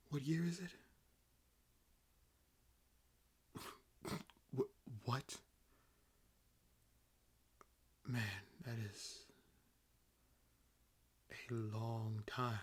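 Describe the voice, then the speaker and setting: A man voicing an ancient vampire in an audio roleplay, nonchalant and amused, speaking with wry condescension and theatrical pauses. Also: mid-range voice, slightly rough. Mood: dazed, musing. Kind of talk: roleplay audio acting, addressing the listener.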